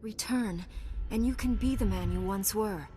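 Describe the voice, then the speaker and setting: A woman's voice: mid-range voice, normal speed, warm tone, a little hiss or hum, natural voice. A woman playing an earnest, steadfast Jedi knight in a video game, composed and sincere. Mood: calm resolve.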